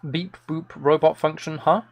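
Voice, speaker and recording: A man's voice: on the high side, steady tone, moderate pace, a little mellow. A man hosting a live game stream in casual chat, rambling playfully and thinking aloud into a close microphone.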